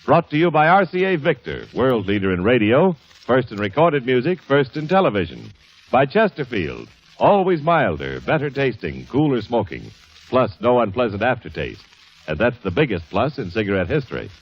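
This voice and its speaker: An American man works as a vintage radio announcer, reading scripted copy with polish and emphasis. His pitch moves in lively sweeps, and the old broadcast recording sounds dull. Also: normal speed. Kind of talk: 1940s-50s radio announcing, scripted sponsor reads.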